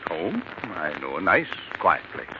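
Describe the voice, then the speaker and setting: An actor playing an authoritative doctor with a coaxing but firm manner in a 1940s radio thriller. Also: male voice, low voice, huge pitch swings, a little slow, exaggerated voice. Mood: soothing, evasive. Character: authoritative male doctor, placating then stern. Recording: muffled, hissy 1940s radio recording.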